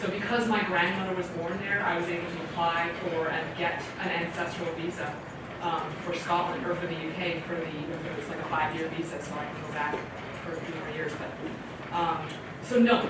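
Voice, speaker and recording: A woman from Canada giving a casual question-and-answer talk to a library audience. She is chatty and animated, laughs often and acts out her own reactions. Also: lowish voice, fairly quick. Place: library meeting room with audience. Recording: echoey hall audio from far off with loud room noise.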